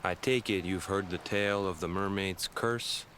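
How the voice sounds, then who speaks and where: A man's voice: deep voice, fairly even intonation, moderate pace, a bit bright, slight background noise. An earnest young man. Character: young Hawaiian man, grandson of a cursed fisherman. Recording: game audio over background music.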